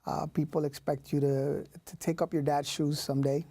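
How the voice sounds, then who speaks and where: A man's voice: fairly high pitch, little pitch movement, moderate pace, dark tone, breathy edge, no echo. A man in his thirties, born in Puerto Rico, talking casually as an interview guest with many fillers and restarts. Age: 30s. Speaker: youth leader.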